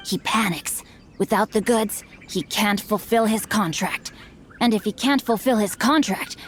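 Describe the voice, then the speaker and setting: A woman's voice: medium pitch, slight background noise, lightly acted. A woman playing a bookish young nobleman in a video game, speaking formally and theatrically with a self-satisfied air. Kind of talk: video game character acting.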